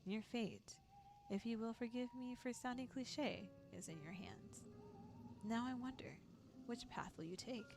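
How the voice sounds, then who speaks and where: Woman as an ominous horror-game narrator over music. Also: on the high side. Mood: dramatic, foreboding. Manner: deliberate, foreboding. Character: ominous, theatrical narrator of a horror visual novel. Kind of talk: dramatic second-person storytelling.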